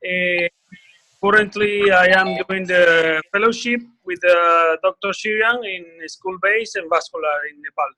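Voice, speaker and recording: A man with a high, bright, forceful voice introduces himself on a video call. He is a surgeon in fellowship training. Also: measured pace, rough recording. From Venezuela. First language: Spanish. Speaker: neurosurgeon fellow.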